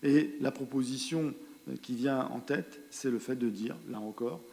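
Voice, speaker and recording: A man presents findings to a meeting in a mellow, soft-edged voice of medium pitch. His delivery is halting, often repeating small words as he explains. The sound carries the long reverb of a large hall. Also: creaky. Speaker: presenter of a territorial project at a council meeting. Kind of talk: spoken presentation of survey results and strategy, partly improvised.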